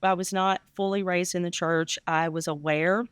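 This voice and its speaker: A woman's voice: lowish voice, steady tone, normal speed, smooth, no room sound. A woman interview guest giving casual personal testimony in an earnest, emphatic way.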